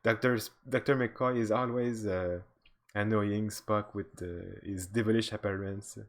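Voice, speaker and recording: Halting male game streamer. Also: lowish voice, fairly even intonation. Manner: halting, many fillers and restarts. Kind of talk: live game commentary.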